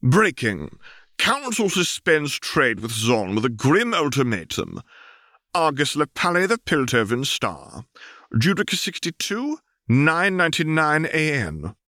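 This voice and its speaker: A man voicing a brisk newspaper reporter in an audiobook, delivering breaking news in a crisp, formal, declarative way. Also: fairly high pitch, sing-song, pushed and bright, no echo, studio quality, voice pushed up, exaggerated voice. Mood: urgent, formal.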